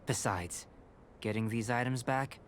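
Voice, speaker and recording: Calm, cerebral young alchemist, played by a man. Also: medium pitch, slight background noise, lightly acted. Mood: measured, scholarly. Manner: measured, formal, scholarly. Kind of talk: anime game voice acting. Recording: faint music behind the voice.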